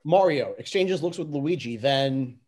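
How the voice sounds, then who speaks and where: A man reading stage directions in a plain, slightly halting way at an amateur script reading. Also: medium pitch, animated, a little mellow.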